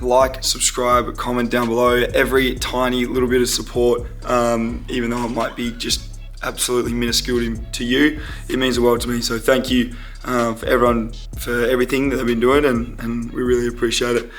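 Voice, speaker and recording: Hoarse young Australian man vlogging. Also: mid-range voice, monotone, a little fast, hardly any pauses, soft background music. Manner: upbeat, chatty, slangy. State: hoarse, voice partly lost. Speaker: vlogger and footballer. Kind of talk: casual game day vlog.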